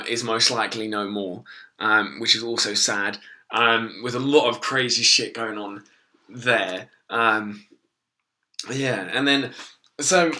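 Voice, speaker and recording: A young man, hoarse from losing his voice, rambling casually through a live stream with lots of ums and swearing. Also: mid-range voice, sharp tone. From England.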